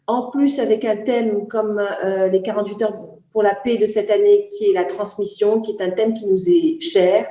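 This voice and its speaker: A woman from Senegal delivers a formal, heartfelt testimonial. Her voice is soft and mellow, and her long sentences keep stalling on small hesitations. The sound carries a noticeable roomy echo. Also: mid-range voice, fairly even intonation, moderate pace, hardly any pauses. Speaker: speaker presenting a project.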